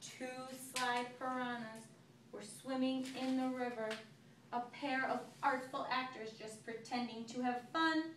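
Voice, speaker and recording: A woman's voice: on the high side, steady tone, a little slow, a bit bright. A woman teacher reading a picture book aloud to a child, telling it expressively and stopping to ask questions. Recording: echoey room, recorded from far off, noisy.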